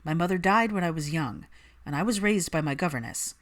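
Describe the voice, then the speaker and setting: A woman voicing a composed, wry, probing man of an old wizard family, with a smooth, measured manner in audiobook dialogue. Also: fairly low pitch, expressive, lightly acted. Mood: measured, serious.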